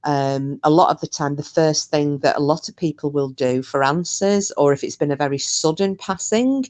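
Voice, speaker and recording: A woman's voice: low-pitched, normal speed, darkish tone. A woman co-hosting a podcast, chatting casually about a paranormal case, with lots of fillers and restarts. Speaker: podcast co-host.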